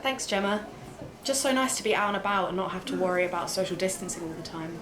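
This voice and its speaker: A woman's voice: fairly low pitch, fairly even intonation, fast talker, crowd noise, lightly acted. A woman playing a casual, curious young friend in an audio drama, informal and inquisitive. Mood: relaxed, friendly. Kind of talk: naturalistic audio drama dialogue. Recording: echoey room with faint noise.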